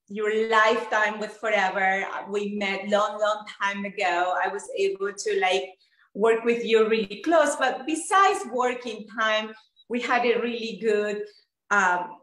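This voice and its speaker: A woman's voice: mid-range voice, a bit bright, echoey room, rough recording. A woman hosting an interview in a chatty, enthusiastic way, with many fillers. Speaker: interview host. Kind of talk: casual interview chat.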